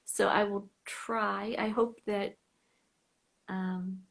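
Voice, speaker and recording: An American woman hosting a fan club live stream over a video call, chatting casually, sometimes trailing off into soft whispers. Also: mid-range voice, level tone, drawn-out words, vocal fry.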